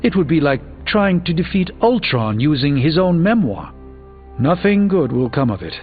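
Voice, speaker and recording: An actor playing a learned sorcerer mentor in a video game, speaking in a grave, measured, formal way. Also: male voice, a little high, very animated. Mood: grave, explanatory. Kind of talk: video game character dialogue. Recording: dull band-limited game audio, music behind.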